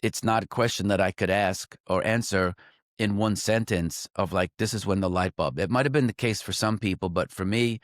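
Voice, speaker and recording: A man with a somewhat low voice speaks as a trading coach, reflecting at length in an unscripted, rambling way. He leans on fillers and often breaks off to restart a thought. The sound is dry and close, with little room around it. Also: normal speed, no room sound. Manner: loose and conversational, heavy on "like" and "um"; restarts and self-corrections mid-sentence. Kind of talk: reflective solo talk to camera.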